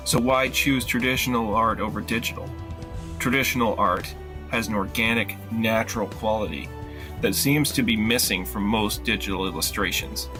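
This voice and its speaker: Low-voiced man narrating over music. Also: moderate pace. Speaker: illustrator promoting his own work. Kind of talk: scripted promotional narration. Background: background music.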